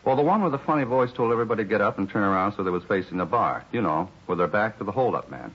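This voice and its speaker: A put-upon American bar worker grumbles in a low, rough-edged voice. He rambles in a plainspoken, resigned way, in 1950s radio drama style, on a dull old recording. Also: expressive, racing. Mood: shaken, earnest. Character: weary, put-upon male bar employee, grumbling witness.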